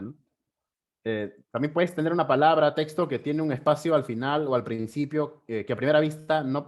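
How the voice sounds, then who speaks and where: A man with a Peruvian Spanish accent teaches an online programming class over a video call. He speaks at an easy pace with many fillers, and he often ends a phrase with a tag question. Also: mid-range voice. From Peru. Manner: unhurried, ends phrases with tag questions, frequent fillers, occasional throat clearing. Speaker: programming instructor. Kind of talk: live online coding class.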